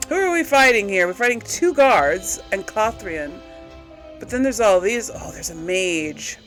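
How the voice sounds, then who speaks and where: A woman's voice: medium pitch, expressive, unhurried, fairly bright tone, soft background music, poor audio. A woman hosting a casual gaming let's play, thinking aloud in a chatty, folksy way with a slight throaty tickle from a recent cold. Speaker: gaming video host.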